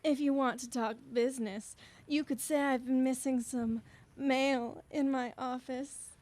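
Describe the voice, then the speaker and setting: A woman as a coy, higher-voiced flirty landlord. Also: steady tone, a little mellow, no room sound. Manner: coy, deliberate innuendo. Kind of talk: parody noir radio serial acting.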